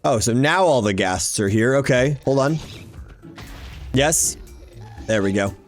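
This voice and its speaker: Animated man doing casual game commentary. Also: medium pitch, unhurried, faint music behind. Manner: animated, exclaiming, talking to himself. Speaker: gaming YouTuber hosting a Minecraft series.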